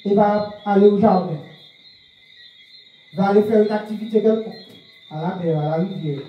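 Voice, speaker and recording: A man's voice: high-pitched, normal speed, highs cut off, faint music behind. Man lecturing in a very echoey room. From France. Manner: questions the audience and repeats key phrases. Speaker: speaker of a spiritual movement. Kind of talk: public talk to an audience. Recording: very echoey room, far from the mic, faint noise.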